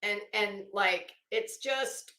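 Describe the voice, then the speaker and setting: A woman's voice: medium pitch, slow, sharp tone. A woman commentator reading aloud and riffing in a sarcastic, taunting, emphatic way, blunt and given to swearing. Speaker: online commentator reading a legal motion aloud. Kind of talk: dramatic reading with mocking asides. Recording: echoey room.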